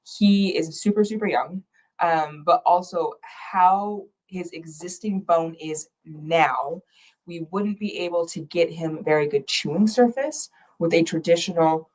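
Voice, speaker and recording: Casting: low-pitched woman co-host, chatty and playful, slight allergy rasp. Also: measured pace, a little mellow, low-quality recording. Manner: chatty, playful, lots of agreeing fillers. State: slightly raspy throat from allergies. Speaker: dental office staff co-host. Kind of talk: casual chat.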